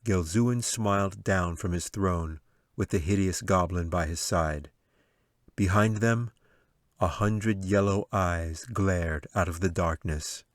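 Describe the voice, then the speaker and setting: A man with a low voice narrates a fantasy adventure as its author. The recording is dry and close, with a scripted storybook delivery. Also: takes it slowly, no room sound. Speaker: author reading own audiobook.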